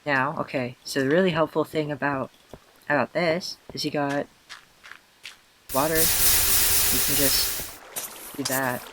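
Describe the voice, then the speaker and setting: A man with a hoarse, tired voice, self-consciously rambling through casual gameplay commentary with many fillers and restarts. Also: fairly high pitch, steady tone, slow, pauses often, background noise. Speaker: let's player.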